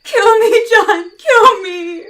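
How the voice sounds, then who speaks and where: Panicked woman in old radio horror play, super high and shrill, begging slow and dramatic. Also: fairly bright tone, exaggerated voice. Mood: hysterical terror. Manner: shrill, pleading, melodramatic. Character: hysterical terrified woman pleading for death. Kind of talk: 1930s radio horror acting.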